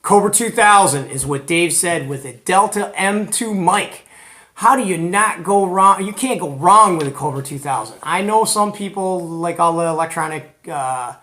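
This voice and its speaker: An American man hosting a hobby video in a casual, animated, colloquial way, retelling conversations as he goes. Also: high-pitched, normal speed. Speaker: host of a CB radio show. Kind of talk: casual hobby vlog presenting.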